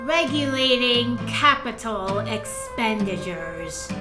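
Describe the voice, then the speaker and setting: A composed court messenger delivers news matter-of-factly in an audio drama. Her slightly high voice rings in a roomy echo, with music underneath. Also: lively intonation, slow. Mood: composed, official.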